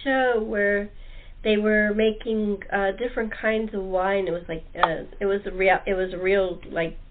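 Woman host, mid-pitched, chatty and halting, casual group conversation over narrow, thin call audio. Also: little pitch movement, slight background noise. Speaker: talk show host.

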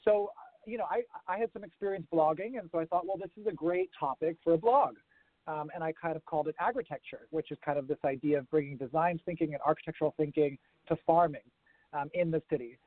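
A man with an American-sounding accent explains his field in a thoughtful, unhurried way, with a few fillers. His voice sits a little high. The sound is dry and thin, like a phone line. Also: dry recording. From grew up around the world. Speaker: guest, urban farming consultant. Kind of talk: remote interview.

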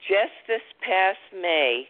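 A woman's voice: medium pitch, expressive, slow pace. Older woman caller on a thin phone line. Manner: chatty, eager to keep talking. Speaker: caller to a radio show. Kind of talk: casual personal chat. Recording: narrow telephone line.

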